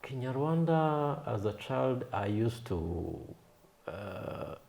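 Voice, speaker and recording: A man in his thirties from Kenya, a Swahili native speaker, recalling his past in an unhurried, thoughtful way, with frequent pauses. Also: mid-range voice, big pitch swings, gravelly, a little mellow. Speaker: guest, film and creative artist. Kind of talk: reflective interview storytelling.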